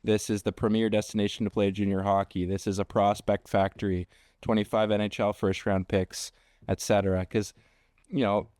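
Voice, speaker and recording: A man's voice: on the low side, level tone, moderate pace, darkish tone, no echo, studio quality. A young man hosting a conversational interview, feeling his way through questions with repeated words and restarts. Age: young adult. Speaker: podcast host, interviewer.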